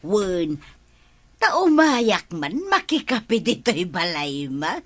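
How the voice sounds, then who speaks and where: A woman's voice: on the low side, sing-song, drawn-out words, a bit bright, heavily acted. A woman acting a cheeky freeloading neighbour in a comedy radio drama, giggly and drawling, with an Ilocano accent. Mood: chummy, nosy.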